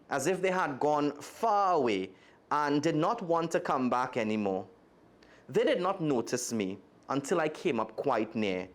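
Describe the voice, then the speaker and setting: A man with a Trinidadian accent reading a children's story aloud expressively and speaking directly to young listeners. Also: fairly high pitch. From Trinidad and Tobago. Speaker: guest storyteller.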